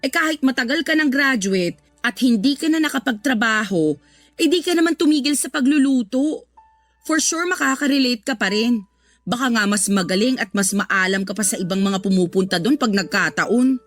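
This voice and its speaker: An actress playing a sassy, indignant and supportive woman friend in a radio drama, talking colloquially with expressive exclamations. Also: on the high side, moderate pace, faint music behind. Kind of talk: Tagalog radio drama acting.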